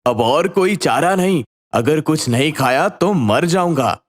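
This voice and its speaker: Melodramatic sneaky cartoon thief acted by a man, slow-spoken. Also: a little high, animated. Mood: grumbling, desperate. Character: sneaky male thief in an animated story.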